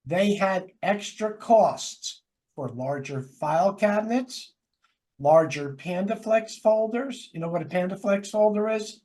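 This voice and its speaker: A man's voice: sits high, animated, measured pace, darkish tone. A man from New Jersey with a New York accent, co-hosting a casual chat and telling a rambling story with hesitant fillers. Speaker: podcast co-host.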